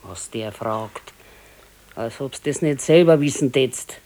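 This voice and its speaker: A woman's voice: rumbling low, slow pace, dark tone, heavily acted. An actress playing an old grandmother and grumbling, practical wife in a radio play, speaking tersely and dryly with a Bavarian accent. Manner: terse, matter-of-fact, dryly contradicting. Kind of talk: naturalistic radio play dialogue.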